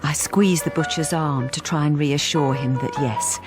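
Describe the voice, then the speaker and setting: Actress as a wistful, low-voiced housewife over music. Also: female voice, fairly even intonation, normal speed, close to an everyday voice. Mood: wry, confiding. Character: lonely wistful housewife turning invisible, narrating her story. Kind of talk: radio drama narration and dialogue.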